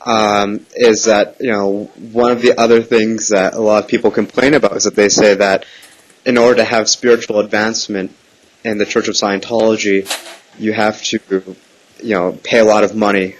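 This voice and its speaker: A man with a fairly low voice speaks as a caller on the phone. He asks questions in a casual, meandering way, hesitating often while still pressing his point. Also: level tone, moderate pace, low-quality recording. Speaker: prank caller. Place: phone call.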